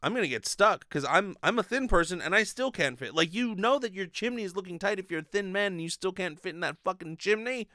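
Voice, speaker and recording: Male comedy host, incredulous ranter, very lively intonation, dry close-miked home recording. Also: sits high, fast talker, few pauses, dry recording. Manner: incredulous, ranting. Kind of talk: casual comedic commentary with swearing. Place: small home bedroom. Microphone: desk microphone with pop filter, close.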